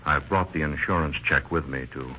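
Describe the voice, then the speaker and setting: Very deep-voiced, polite bereaved son. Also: male voice, moderate pace, bright, forceful tone. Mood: subdued. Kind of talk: 1930s radio acting. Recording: muffled hissy 1930s radio recording.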